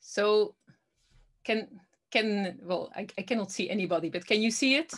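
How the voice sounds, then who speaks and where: A woman's voice: mid-range voice, normal speed. A woman in her thirties from the Netherlands, with a Dutch accent, giving an academic presentation over a video call. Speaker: guest lecturer, law academic. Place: book-lined room, indoors. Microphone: video call, earphones with inline mic.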